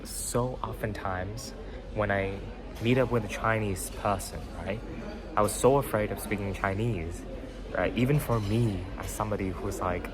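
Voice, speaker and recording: A man's voice: on the low side, fairly even intonation, moderate pace. A young man whose first language is Vietnamese, hosting a podcast and talking casually through a motivational story, full of fillers and "right?" tag questions. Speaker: podcast host. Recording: background music under voice. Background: music.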